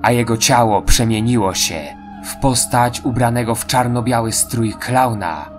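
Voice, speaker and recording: A man's voice: medium pitch, a little slow, over music. A man narrating a scripted horror story as an audio drama.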